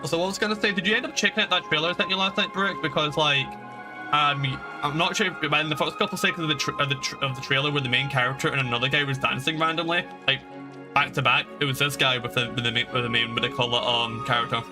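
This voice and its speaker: A man's voice: on the high side, steady tone, fast talker, music in the background. A man streaming a game, chatting informally in a rambling way and repeating himself as he explains. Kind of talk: casual stream chat and commentary.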